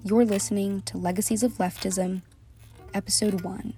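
A woman host reading a scripted podcast introduction in a measured way. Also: mid-range voice, soft background music. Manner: even, measured delivery. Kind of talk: scripted narration.

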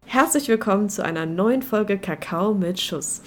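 A woman's voice: medium pitch, animated, normal speed, faint music behind. A young woman co-hosting a podcast in casual chat, with a joking, ironic manner. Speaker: podcast co-host.

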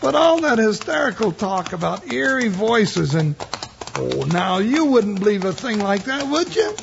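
High, gravelly, excitable cowboy sidekick. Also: male voice, measured pace, heavily acted. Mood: worried. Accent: American. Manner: folksy drawling cowboy talk, stammering, blustery. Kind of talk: 1950s radio western acting. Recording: dull, noisy old radio recording.

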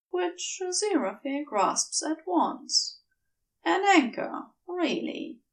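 High, lively woman narrating fiction. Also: far from the mic, rough recording. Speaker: podfic narrator.

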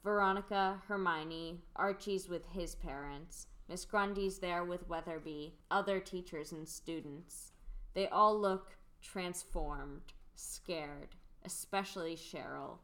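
A woman's voice: lowish voice, slow pace, fairly bright tone. A young adult woman reading the stage directions in a podcast radio play, dry and matter-of-fact.

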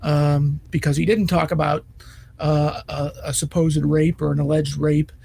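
Hoarse man, raspy, halting commentary. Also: a little high, flat delivery, warm tone. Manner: hesitant, many fillers and restarts. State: hoarse, scratchy voice. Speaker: executive editor. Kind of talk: casual news commentary.

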